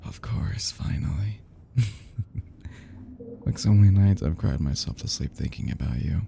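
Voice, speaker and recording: Guy doing a obsessive yandere boyfriend asmr, low creaky voice, halting and breathy, clingy and tender, bit of background noise. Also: lively intonation, mellow, full character voice. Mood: relieved, devoted. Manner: halting, stammering, breathy half-whispers, sighing. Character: obsessive lovesick young male yandere kidnapper, tender and fragile. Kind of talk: roleplay audio drama, ASMR.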